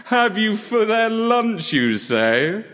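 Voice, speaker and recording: A man voicing a sly, flattering fox with theatrical, smooth talk in a children's storybook. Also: sits high, takes it slowly, faint music behind, voice pushed up, full character voice. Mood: grandly persuasive.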